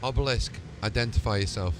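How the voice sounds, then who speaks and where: A man role-playing as a ship's commander in conversation with game voices. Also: fairly quick. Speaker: game player in character as ship commander. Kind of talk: role-played dialogue with game voice pack. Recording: clear ambient rumble behind.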